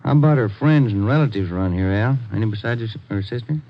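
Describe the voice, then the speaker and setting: A man's voice: fairly low pitch, expressive, fast. An actor playing an easygoing male police sergeant, laconic and questioning, in a vintage radio crime drama. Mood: casual, probing. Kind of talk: 1940s-50s radio crime drama acting. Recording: muffled, faintly noisy old radio recording.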